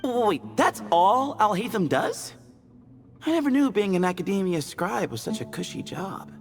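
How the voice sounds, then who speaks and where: A man's voice: sits high, very animated, normal speed, long reverb tail, faint music behind. A man playing a playful, knowledgeable young keeper of temple lore in a video game, explaining and teasing. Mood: surprised, teasing. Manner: expository, teasing. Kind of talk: scripted video game dialogue.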